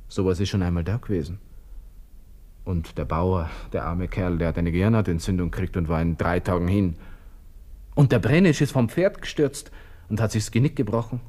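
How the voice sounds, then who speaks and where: An actor playing a proud, agitated young army lieutenant with a Viennese accent, talking to himself in a radio drama. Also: male voice, low voice, brisk, a little mellow. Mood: grim resolve. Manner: brooding, talking to himself in clipped bursts. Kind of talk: radio drama interior monologue.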